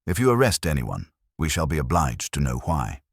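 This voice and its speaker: A man voicing a prim, shrewd older woman, genteel and dryly amused, with crisp, precise speech in a storybook reading. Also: extremely low pitch, sing-song, normal speed, dry recording, studio quality, heavily acted. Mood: pleasant, dry.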